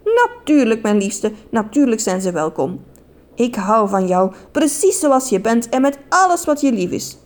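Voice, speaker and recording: A woman's voice: fairly high pitch, sing-song, unhurried, darkish tone. A woman voicing a lovestruck man who declares his love in grand, clumsy rhymes. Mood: tender. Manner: grandly poetic, stumbling over rhymes. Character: flowery, courtly man in love, mustached suitor.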